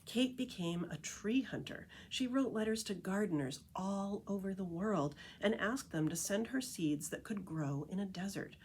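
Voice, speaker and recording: A woman reading a children's picture book aloud as a storyteller, with expressive, deliberate emphasis on key phrases. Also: medium pitch, slight background noise.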